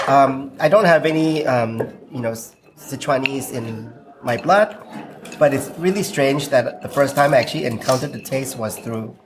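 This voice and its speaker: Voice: mid-range voice, moderate pace, over music. A diner from Singapore, reflectively recalling food memories over lunch, with many ums and you-knows. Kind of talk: casual lunch conversation. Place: restaurant table during a meal. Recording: restaurant with clinking cutlery and dishes.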